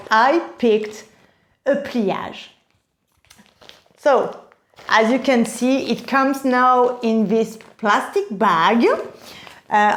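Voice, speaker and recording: Female vlogger, French accent, high voice, slow delivery, chatty and enthusiastic, recorded in an echoey room. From France. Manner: chatty, enthusiastic, addresses viewers directly. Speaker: video host, handbag collector. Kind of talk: casual unboxing vlog.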